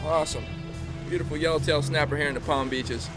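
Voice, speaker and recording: Man, high voice, excited fishing play-by-play, boat, music. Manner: excited, quick remarks. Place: on a boat offshore. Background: background music.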